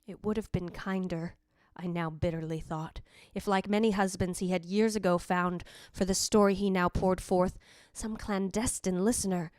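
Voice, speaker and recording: A woman's voice: lowish voice, steady tone, moderate pace, darkish tone, smooth, no echo, clean studio sound, close to an everyday voice. A woman playing the jealous ghost of a dead wife, narrating in a theatrical, literary, anguished way in old-time radio style. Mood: anguished, wounded.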